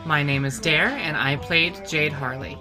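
Low-voiced woman reading credits over music. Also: unhurried. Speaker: credits announcer and cast member. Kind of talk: scripted credits narration.

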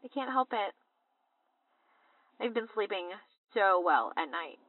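A woman's voice: mid-range voice, big pitch swings, unhurried, no room sound. Casting: bubbly young American sister, chatty and girlish, dry close sound squeezed through a phone, for audio drama. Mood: excited, happy. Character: gushing young American woman travelling in London, chatty little sister. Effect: phone voicemail filter. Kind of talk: audio drama, casual voice message. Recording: tinny phone-like line.

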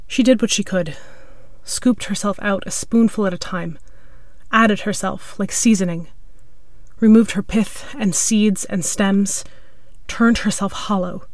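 A woman's voice: medium pitch, darkish tone, no room sound. Dry, close-sounding woman narrating literary fiction. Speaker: story narrator.

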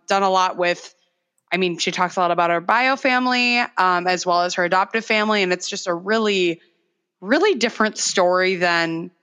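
A woman's voice: fairly low pitch, sharp tone, clean tone. A woman co-hosting a casual podcast, chatty and loose, with many fillers and restarts. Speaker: podcast co-host.

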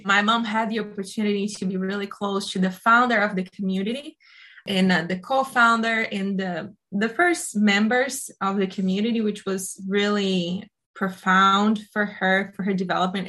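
A woman's voice: medium pitch, steady tone, normal speed, a bit bright. A woman from Brazil, a guest speaking earnestly in a conversational interview with small hesitations.

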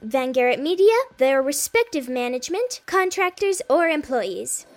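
Lively high-voiced woman reading a disclaimer. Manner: formal, measured. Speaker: announcer. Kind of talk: read disclaimer.

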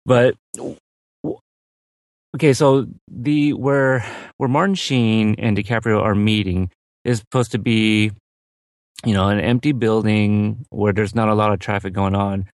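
A man's voice: on the low side, fairly even intonation, unhurried, no echo, clean studio sound. A man whose second language is English, co-hosting a casual film discussion and hesitating with many fillers. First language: not English. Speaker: film podcast co-host.

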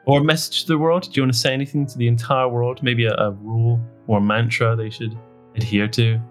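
A man's voice: mid-range voice, little pitch movement, a little fast, soft background music. A man hosting a podcast in a casual comedic chat, rambling and joking at his own expense. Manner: jokey, rambling, self-mocking. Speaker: podcast host.

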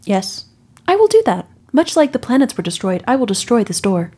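A woman voicing a blunt, literal-minded female warrior in a tabletop game, speaking in a stiff, formal, deadpan way. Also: on the high side, brisk, husky.

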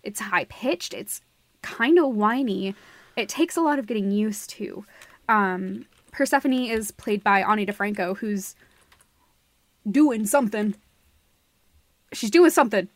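A woman's voice: medium pitch, expressive, unhurried, pauses often, no room sound. A woman co-hosting a podcast, discussing theatre in a casual, opinionated way, gushing and repeating phrases for emphasis. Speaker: podcast co-host.

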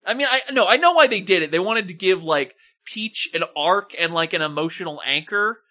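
A woman's voice: on the low side, animated, a little fast, sharp tone. A woman co-hosting a podcast, chatting casually about a movie in an emphatic, exasperated way with plenty of swearing. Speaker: podcast co-host.

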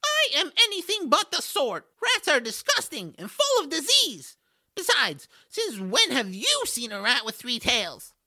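A man voicing a boastful little male rodent adventurer in a family audio drama, squeaky and raspy, with a showy, excitable manner. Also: a little slow, full character voice. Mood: indignant, boastful.

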